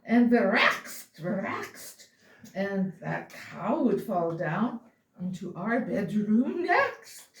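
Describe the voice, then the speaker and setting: A woman reads a rhyming holiday story aloud in a playful, theatrical, drawn-out way. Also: medium pitch, very animated, creaky. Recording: roomy, distant mic, faint noise.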